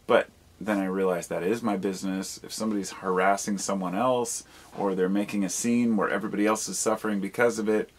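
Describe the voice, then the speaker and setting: A man's voice: on the low side, steady tone, brisk. A man talking in a casual, rambling monologue, candid and casually profane, reflective and questioning himself as he goes. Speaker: video blogger.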